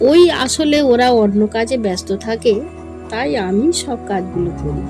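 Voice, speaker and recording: A woman's voice: fairly high pitch, expressive, normal speed, close to an everyday voice. Woman acting modest young village daughter over music. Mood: modest, hesitant. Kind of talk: cartoon voice acting. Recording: loud music under the voice.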